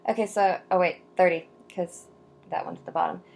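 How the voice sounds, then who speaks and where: A young adult woman chatting to camera in a casual vlog, rambling with frequent ums and asides, and reading poetry slowly and deliberately. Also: on the low side, steady tone, gravelly, a little mellow. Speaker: vlogger answering viewer questions.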